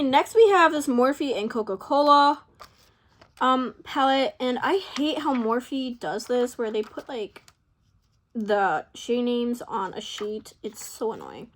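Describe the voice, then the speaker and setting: A young woman vlogger talking casually to camera, rambling and indecisive, her voice a little hoarse from talking for hours. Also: a little high. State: losing her voice. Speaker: beauty vlogger.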